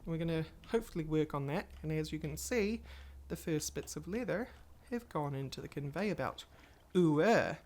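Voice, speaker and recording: A woman's voice: low-pitched, lively intonation, moderate pace, hardly any pauses, darkish tone. A woman hosting a casual game playthrough, chatting and rambling to viewers while she plays, a little stuffy from a cold. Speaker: gaming channel host. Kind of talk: casual Let's Play commentary.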